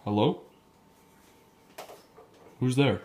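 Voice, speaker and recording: Amateur puppet show: sly sceptical male schemer played by a man, deadpan, unhurried, mid-pitched. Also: a little hiss or hum. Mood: casual.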